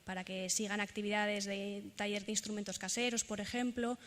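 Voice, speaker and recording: Bright-voiced woman leading a workshop. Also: mid-range voice, level tone, a little fast. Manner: instructive, guiding a group. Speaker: workshop leader. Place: large hall. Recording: echoey hall, faint ambience.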